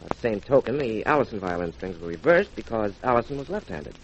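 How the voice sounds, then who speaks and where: An actor playing a sharp, self-assured detective who explains things deliberately, in 1940s radio crime drama style. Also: male voice, on the low side, sing-song. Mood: confident, explaining. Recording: muffled old radio recording, faint hiss.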